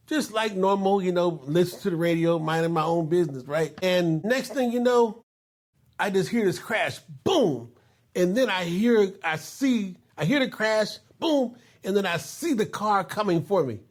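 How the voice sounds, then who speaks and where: Fifties guy on a podcast, kinda high voice, laughs a lot while telling stories, says "you know" constantly. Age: 50s. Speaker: podcast host. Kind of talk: casual podcast chat.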